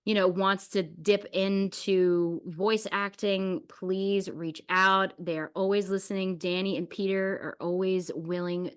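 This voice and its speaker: A woman speaks as a podcast host in casual conversation. Her pitch sits in the middle of the usual female range, and her manner is informal and effusive. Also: fairly even intonation, slow pace, few pauses, clear, resonant tone.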